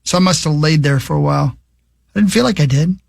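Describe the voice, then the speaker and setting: A man's voice: on the high side, fast talker, creaky, no room sound, clean studio sound. A man co-hosting a sports radio show, chatting and teasing his co-hosts in a casual, rambling way. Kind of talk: casual banter.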